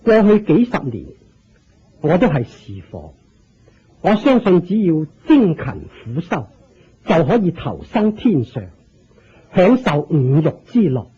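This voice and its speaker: An earnest, reverent old monk in a radio drama, with a Hong Kong Cantonese accent. The voice is hoarse-edged and slightly high, rising and falling as he declaims deliberately. It sounds muffled and lo-fi. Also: sing-song, dark tone, heavily acted. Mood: solemn, explaining. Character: earnest, reverent elderly religious master turned Buddhist disciple. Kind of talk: Buddhist radio drama acting. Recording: dull lo-fi radio drama recording.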